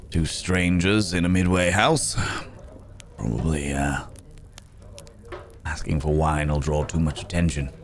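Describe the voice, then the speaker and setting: A man's voice: rumbling low, full character voice. A man playing a courteous, world-weary werewolf traveller in an ASMR roleplay, speaking to the listener in genteel, formal phrases with musing hums and hesitations. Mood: companionable, wry.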